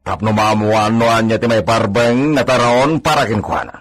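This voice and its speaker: A man's voice: lowish voice, fairly even intonation, moderate pace, close to an everyday voice. An actor playing a bossy, imperious hacienda master in a radio drama, commanding and peppering his speech with Spanish words. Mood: stern, commanding. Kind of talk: Ilocano radio drama acting.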